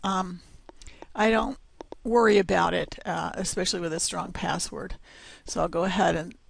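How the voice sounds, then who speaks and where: A woman's voice: lowish voice, fairly even intonation, vocal fry, husky, dry recording. A woman presenting a screencast tutorial, explaining steps one at a time with fillers, her voice scratchy as she loses it. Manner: slow, step-by-step explaining with fillers.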